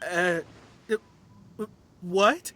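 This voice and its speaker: A woman's voice: on the low side, extremely slow, fairly bright tone, a little hiss or hum. A woman voicing a timid, superstitious young woman in a video game, polite and hesitant. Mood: bewildered, hesitant. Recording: music behind the voice.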